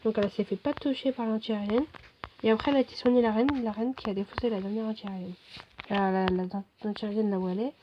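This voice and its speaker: A hoarse woman giving relaxed, rambling commentary over her own game replays, full of fillers and restarts. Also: mid-range voice, quick pace, dull audio, noisy background. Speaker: gaming video host. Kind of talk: casual gameplay commentary.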